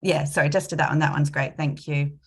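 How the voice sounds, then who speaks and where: A woman's voice: sits low, fairly even intonation, brisk, slightly rough. A woman presenting a talk with slides, hesitant with many ums and restarts, a bit sniffly from a cold. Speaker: climate consultant presenting a talk.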